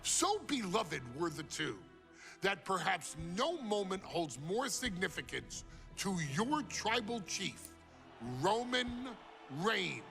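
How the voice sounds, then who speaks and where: A man presents a dramatic scripted showcase with grandiose reverence. His delivery swings widely in pitch and has a gravelly creak, with emphatic pauses between phrases. Music plays behind him. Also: sing-song. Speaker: narrator and presenter of a wrestling showcase. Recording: music under the voice.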